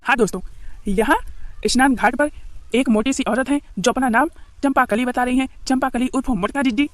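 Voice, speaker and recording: Woman doing a high voiced mock news reporter, officious n helpful, comedy skit, dry close sound. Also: fairly quick, no room sound, close to an everyday voice. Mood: announcing. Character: Chaubat Lal, officious, helpful fairground reporter. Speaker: reporter making public announcements.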